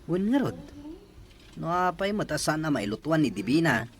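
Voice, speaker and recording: A man's voice: fairly high pitch, sing-song, normal speed, noisy background. A male actor playing a stubborn, aggrieved old village husband in a radio drama, grumbling with an Ilocano accent. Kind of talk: Filipino radio drama acting.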